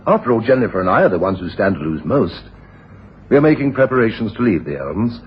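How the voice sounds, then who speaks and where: An actor playing a dignified, sensible gentleman in a radio drama, speaking in a formal, reasoning way. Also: on the low side, big pitch swings, fast. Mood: sensible, resigned. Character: generous, dignified gentleman brother, sensible and resigned. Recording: dull, slightly noisy radio recording.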